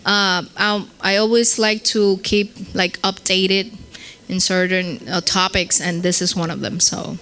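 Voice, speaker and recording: A woman's voice: medium pitch, steady tone, measured pace, a bit bright, clear, resonant tone. A woman, a professor, introducing herself casually and thinking aloud as she goes.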